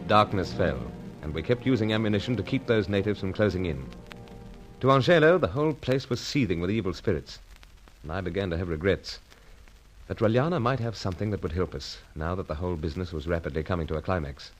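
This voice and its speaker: An actor playing an unflappable British secret agent, speaking and narrating in clipped, understated, old-fashioned gentlemanly phrases in a radio adventure serial style. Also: male voice, low voice, expressive, fast, soft background music, low-quality recording. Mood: grave narration.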